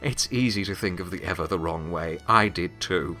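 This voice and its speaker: A man playing a weary, wry, remorseful pony who serves as Death, speaking in a reflective, halting, confiding monologue in an audio drama. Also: low voice, bright, forceful tone, faint music behind. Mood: gentle, wistful.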